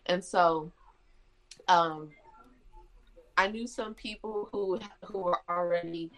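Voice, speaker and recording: Woman in her twenties, from Michigan, low-pitched voice, chatty guest, restarts and fillers. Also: slow, fairly bright tone, rough recording. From Michigan, USA. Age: 20s. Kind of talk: casual conversation.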